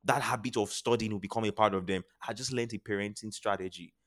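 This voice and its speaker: A Nigerian man speaks as an interview guest with a lowish voice. His delivery is earnest and motivational. He often restates a phrase to press the point. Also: fast talker, fairly bright tone. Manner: earnest, repeats phrases for emphasis. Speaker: podcast guest, charity founder.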